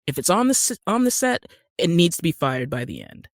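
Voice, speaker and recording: Higher-pitched man, emphatic podcast talk. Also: wildly swooping pitch, fairly quick, no room sound, studio quality. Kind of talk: conversational podcast discussion.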